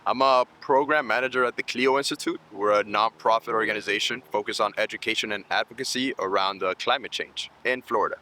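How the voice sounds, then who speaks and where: A man's voice: on the low side, fairly quick, few pauses, pushed and bright, low-quality recording. A man in his thirties from Colombia, raised in Miami, giving earnest, explanatory answers in a conversational interview. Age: 30s. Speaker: nonprofit program manager and interviewee.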